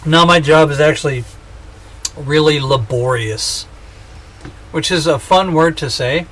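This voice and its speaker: A man in his thirties rambles and jokes at an unhurried, drawn-out pace while playing a game on stream. His voice sits a bit high for a man, and he pokes fun at himself. A faint hiss of room noise runs underneath. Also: a bit bright. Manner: joking, rambling, self-mocking. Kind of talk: casual gaming livestream chat.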